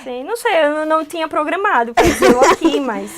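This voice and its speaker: A woman's voice: high voice, fast, heavy background noise. A woman with a Northeastern Brazilian accent, co-hosting a radio show and musing about poetry, tagging her thoughts with "não é?". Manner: animated, musing, many "não é?" tags. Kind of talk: casual conversation about poetry.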